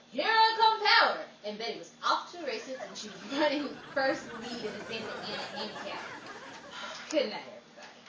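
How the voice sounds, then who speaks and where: A woman playing an excitable storyteller in a 1940s-style radio comedy, recorded in a noisy, roomy space away from the mic. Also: fairly high pitch, recorded from a distance.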